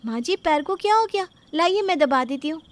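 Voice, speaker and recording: Lady doing a meek young bahu, really high sweet voice, polite and pleading, story drama. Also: lively intonation, brisk. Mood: dutiful. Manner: pleading, soft-spoken politeness. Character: meek, eager-to-please young daughter-in-law, sweet and dutiful. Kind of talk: acted Hindi moral-story dialogue.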